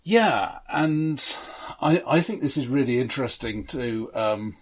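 Halting man analysing films. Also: mid-range voice, animated, husky. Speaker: podcast co-host. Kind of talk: casual analytical film discussion.

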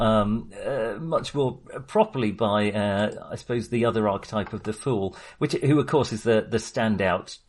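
Enthusiastic, rambling man chatting about films. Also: medium pitch. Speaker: film podcast host. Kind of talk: casual film discussion.

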